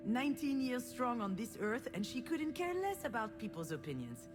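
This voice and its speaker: A woman's voice: a little high, big pitch swings, normal speed. A woman playing a self-deprecating, wistful adult daughter, narrating her family memories in a reflective, conversational first-person voice for a visual novel. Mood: admiring, wry. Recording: prominent music under the voice. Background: loud music.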